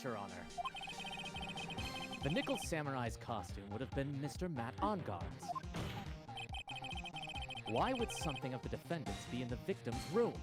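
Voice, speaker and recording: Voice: mid-range voice, lightly acted. Someone voicing a haughty game prosecutor. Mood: objecting. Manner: indignant, theatrical objections. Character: haughty young male prosecutor.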